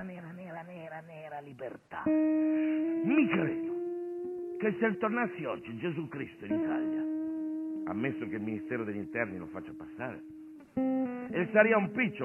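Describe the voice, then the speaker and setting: A man delivers a stage monologue in a slightly high voice, wry and offhand one moment, solemn and declamatory the next. The sound is thin, like a phone line, and loud plucked guitar plays along. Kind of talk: theatrical monologue with asides to the audience. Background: live guitar music.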